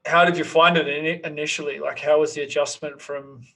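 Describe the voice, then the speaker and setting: A man hosting a casual interview, relaxed, often restarting his questions. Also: fairly high pitch, a little fast, gravelly.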